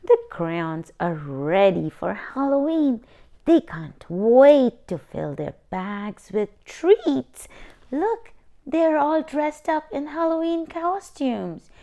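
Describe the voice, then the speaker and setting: A woman reads a children's picture book aloud at a very unhurried pace. Her pitch rises and falls a great deal as she takes each line, and she slips into playful voices for the characters. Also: lo-fi sound. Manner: slow, lively storytime reading with playful character voices. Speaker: children's book reader, channel host. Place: indoors at a table, hands over a book.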